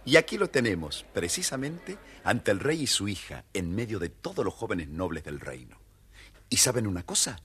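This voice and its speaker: A man narrating a children's fairytale theatrically in a husky voice. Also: big pitch swings, moderate pace, a bit bright. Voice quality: husky, deep. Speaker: storyteller, narrator.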